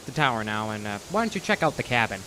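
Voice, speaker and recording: A man's voice: medium pitch, sing-song, fast talker, background noise, lightly acted. A man acting a cocky, dismissive, joking repairman in an audio horror drama.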